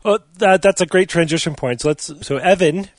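A man's voice: sits high, brisk, no echo, clean studio sound. A man hosting a talk show, steering the conversation thoughtfully. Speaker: podcast host. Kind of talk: casual chat.

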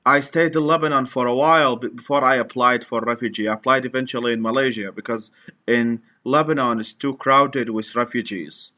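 A man, a guest in an interview, talking in a halting way with many restarts. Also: medium pitch, fairly even intonation, sharp tone. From Iraq. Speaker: guest, activist. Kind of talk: conversational interview.

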